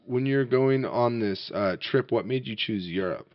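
A young man chatting casually and asking questions, halting often with fillers and restarts. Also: mid-range voice, no echo. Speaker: interviewer. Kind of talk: casual conversation. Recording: dull, dry, muffled sound.

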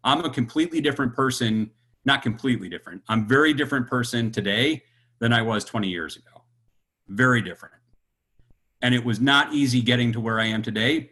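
A man's voice: mid-range voice, pushed and bright. A man in his fifties speaking sincerely and thoughtfully as a podcast guest. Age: 50s. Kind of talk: reflective conversation.

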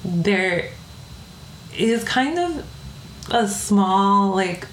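A woman's voice: mid-range voice, lively intonation, very slow. A woman from the Bronx, New York, speaking as a podcast guest in a reflective, conversational way, often adding "like" and "right?". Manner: reflective, frequent "like" and "right?" tags. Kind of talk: conversational interview. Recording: echoey room with faint noise.

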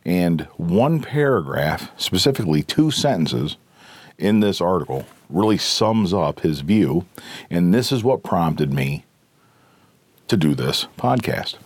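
A hoarse middle-aged man hosting an opinion podcast, getting over a cold, talking earnestly and emphatically and often restating his points. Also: deep voice, expressive, slow, no echo. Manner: earnest, emphatic, repeats and rephrases himself. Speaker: podcast host.

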